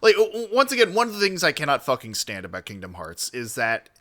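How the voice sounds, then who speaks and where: A male streamer with a bright, forceful voice reacts casually and with strong opinions. His pitch moves around with lots of animation. He is sardonic and swears freely. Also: huge pitch swings, fast. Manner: sardonic, profane. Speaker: livestream host reacting to a video.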